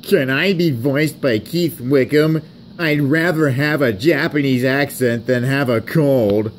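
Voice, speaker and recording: A man's voice: medium pitch, measured pace, slight background noise, voice pushed up, natural voice. A man voicing a glum little male engine who has a cold, in a storybook parody. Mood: pleading. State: stuffed up with a cold.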